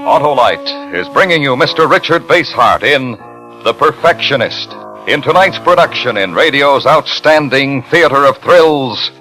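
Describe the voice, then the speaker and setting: A man giving a grand, dramatic 1950s radio program introduction with deliberate showmanship. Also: mid-range voice, sing-song, poor audio. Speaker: program announcer. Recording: vintage 1950s radio recording, loud music behind.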